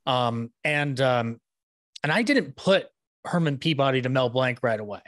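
A man's voice: medium pitch, lively intonation, normal speed, a bit bright, no echo. A young adult man in a casual fan chat as a podcast host, speaking into a close podcast mic. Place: small room indoors, video call. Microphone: podcast mic on boom arm, headset.

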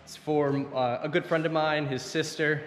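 A man's voice: on the high side, steady tone, normal speed, a little hiss or hum. A man who runs a car detailing and wrap shop, chatting loosely with lots of fillers as he walks viewers through the day's work, moving in and out of doors with a handheld camera. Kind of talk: casual shop vlog walkthrough.

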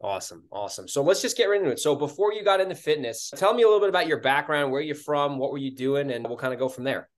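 Fast-talking young male podcast host. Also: on the high side, lively intonation. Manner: upbeat, fast-talking, casual filler "like". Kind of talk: podcast interview over video call. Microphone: video call webcam.

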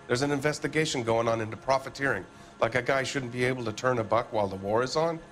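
An American actor playing a defensive, evasive middle-aged factory owner in a video game, with an indignant, blustering manner. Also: male voice, mid-range voice, quick pace, fairly bright tone, faint music behind, lightly acted.

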